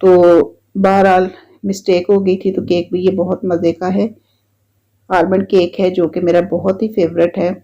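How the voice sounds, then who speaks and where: A woman with a Pakistani Urdu accent talks in an easygoing, rambling way, circling back to the same points as she narrates her home vlog. Her voice is mellow and warm, with a slight roughness from a sore throat. The recording sounds dull and lo-fi. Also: lowish voice, unhurried. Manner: chatty, repetitive, relaxed storytelling. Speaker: vlogger. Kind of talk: casual voice-over narration.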